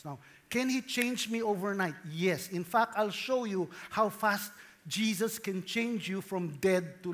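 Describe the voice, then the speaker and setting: A man in his thirties with a Filipino accent preaches as a pastor, switching between English and Tagalog in an animated, playful way with questions to the congregation. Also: sits high. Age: 30s. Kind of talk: sermon mixing English and Tagalog.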